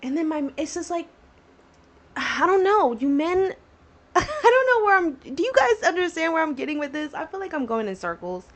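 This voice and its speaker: A woman's voice: very high voice, sing-song, moderate pace. A woman in her twenties hosting a solo podcast, talking casually and rambling through tangents with many fillers. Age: 20s. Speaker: podcast host.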